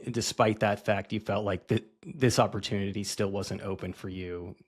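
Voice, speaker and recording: A man's voice: on the low side, steady tone, moderate pace, breathy edge. A man hosting a podcast interview, asking thoughtful questions and rephrasing as he goes. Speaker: podcast host. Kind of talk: conversational interview.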